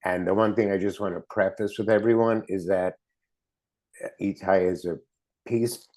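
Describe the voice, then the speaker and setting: A man's voice: deep voice, steady tone, moderate pace, a little mellow. A man hosting a podcast interview, friendly and a bit rambling, with sentences that trail off. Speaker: podcast host.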